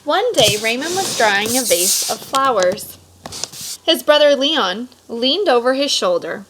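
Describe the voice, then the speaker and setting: A woman reading a children's picture book aloud expressively, pausing for casual explanatory asides to young listeners. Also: on the high side, big pitch swings, a bit bright, slight background noise, rough recording. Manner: explanatory, stops to clarify words for children. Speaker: read-aloud storyteller.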